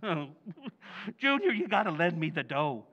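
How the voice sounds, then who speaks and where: Casting: male actor as bumbling middle-aged American dad for vintage radio comedy, high voice swooping anxiously, flustered pleading, slightly dull recording. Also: very animated, normal speed, a bit bright, full character voice. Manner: flustered, pleading, colloquial working-class talk. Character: bumbling, guilt-ridden middle-aged American husband and father. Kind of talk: old-time radio comedy acting.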